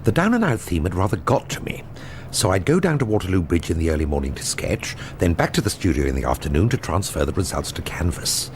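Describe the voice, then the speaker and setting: A wry male painter with a deep voice that moves very widely in pitch. He is understated and evasive in radio drama style, with faint noise behind him. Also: sing-song, quick pace. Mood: reflective narration. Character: wry, evasive painter caught in a forgery scam. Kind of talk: British radio drama acting with narration.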